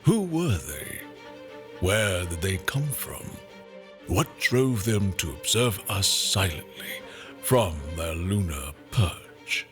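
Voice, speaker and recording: An actor as a grave, mysterious storyteller, giving dramatic scripted narration for an audio drama. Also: male voice, deep voice, fairly bright tone, full character voice.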